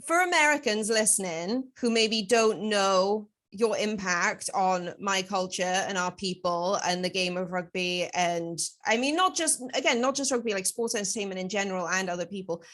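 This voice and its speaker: This is a woman in her late twenties hosting a casual podcast chat. Her voice sits at an ordinary middle pitch. She sounds eager and excited, and she uses a lot of filler words. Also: moderate pace. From Wales. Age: 20s. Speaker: podcast host.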